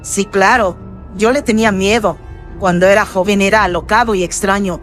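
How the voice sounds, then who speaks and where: A man's voice: sits high, steady tone, normal speed, a bit bright, soft background music. A man with a filtered voice playing a humble, devout, elderly noblewoman with courteous, old-fashioned speech in a Spanish-language horror radio drama. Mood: humble, confiding. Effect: voice processed with filters.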